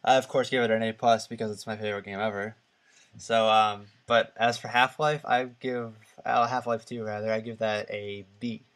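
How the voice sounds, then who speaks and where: A man co-hosting a casual gaming podcast, talking in a halting, rambling and opinionated way. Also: on the low side, flat delivery, a bit bright. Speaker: podcast co-host.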